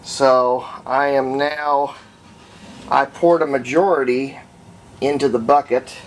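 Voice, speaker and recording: A man giving a casual hands-on demonstration, unhurried and folksy, thinking aloud as he works. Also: medium pitch, steady tone, a little hiss or hum, rough recording. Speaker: hobbyist restorer and video host.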